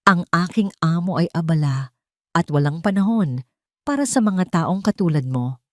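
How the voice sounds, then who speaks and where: A woman's voice: on the low side, expressive, moderate pace, no echo, clean studio sound, lightly acted. A woman voicing an elderly head housekeeper in an audio story, icy and contemptuous. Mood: cold scorn. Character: cold, scornful elderly female head housekeeper.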